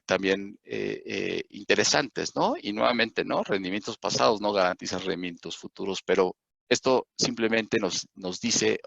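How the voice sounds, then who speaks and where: A man with a Mexican accent talks through slides in a webinar, his low voice gravelly with fry. He hesitates often and restarts his words. The sound is dry and a little dull, like a computer mic on a call. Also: a bit bright, dry recording. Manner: halting, many fillers and restarts. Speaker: webinar presenter on investment indices. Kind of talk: live webinar presentation over slides.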